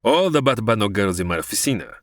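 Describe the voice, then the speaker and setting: An exasperated police officer, with a lowish, very animated voice. He speaks formally and with wounded importance, as in a radio comedy. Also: fast, no echo, clean studio sound. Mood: aggrieved. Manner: formal, aggrieved. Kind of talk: comedy radio drama acting.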